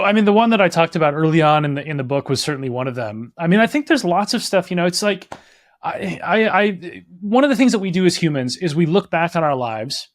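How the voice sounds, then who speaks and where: A young man from Minnesota talks through his ideas at a brisk clip, dropping "like" and "right?" as he goes. The sound is close and dry, with no room around it. He comes across as an author being interviewed. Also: a little high, no echo. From Minnesota, United States. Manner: fast, animated, full of "like" and "right?". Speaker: author and guest. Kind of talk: conversational interview answers.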